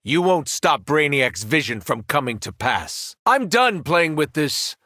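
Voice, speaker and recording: Arrogant villainous superhero, bright forceful voice. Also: male voice, on the high side, big pitch swings, measured pace, no echo, clean studio sound, exaggerated voice. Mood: arrogant contempt. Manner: taunting, menacing, condescending. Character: villainous brainwashed superhero, powerful, arrogant, cruel. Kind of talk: video game villain voice lines.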